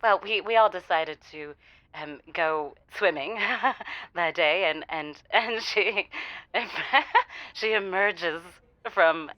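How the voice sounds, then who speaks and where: A woman playing a shy, flustered caller in an audio drama, halting and stammering with a giggly, confessional delivery over a dull phone-in sound. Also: fairly low pitch, no echo. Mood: bashful.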